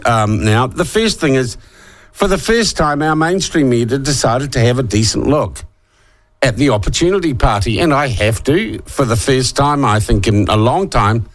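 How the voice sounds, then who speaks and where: A middle-aged man with a New Zealand accent, husky from a head cold, hosting a talk radio show in a sarcastic, opinionated monologue. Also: medium pitch. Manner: sarcastic, scornful asides.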